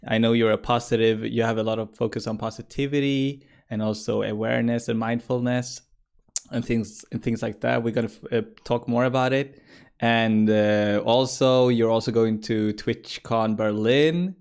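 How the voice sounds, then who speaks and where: A man hosting a podcast, welcoming his guest in a friendly way, often pausing with fillers and repeating words as he goes. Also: medium pitch, creaky, darkish tone, smooth. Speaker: podcast host. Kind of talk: casual interview hosting.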